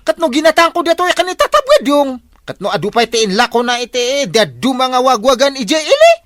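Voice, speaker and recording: A feisty village woman in a radio comedy, very high and lively in pitch. She protests in an Ilocano accent, recorded close and dry. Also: fairly quick, no room sound. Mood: protesting.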